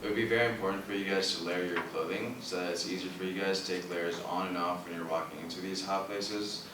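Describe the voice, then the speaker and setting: A man's voice: sits low, steady tone, quick pace, noisy background. A young man from Syria, an international student, casually giving packing advice to viewers in a friendly way. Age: young adult. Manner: friendly, advising, addresses viewers as guys. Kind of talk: casual informational video. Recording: roomy, mic at a distance, music underneath.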